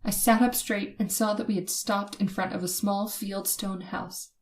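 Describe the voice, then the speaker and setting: A woman's voice: mid-range voice, steady tone, natural voice. An actress playing a frightened young woman held captive by a killer, telling her story in a suspenseful old-time radio horror drama. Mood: tense narration. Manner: breathy dramatic suspense, hushed and halting when afraid.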